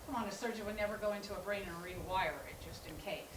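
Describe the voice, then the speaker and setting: A woman's voice: medium pitch, fast, lightly acted. A woman playing an exasperated, confrontational adult daughter, protective of her brother, in a staged drama reading. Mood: incredulous. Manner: confrontational, incredulous, challenging. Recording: echoey room, distant mic, background noise.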